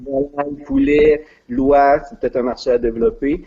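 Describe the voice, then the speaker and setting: Man, middle-aged, Quebec French accent, husky, hesitant with fillers, dull lo-fi recording. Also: mid-range voice, sing-song, moderate pace, dark tone, faint music behind. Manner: hesitant, many fillers and restarts. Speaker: guest, regional business development adviser. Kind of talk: casual interview chat.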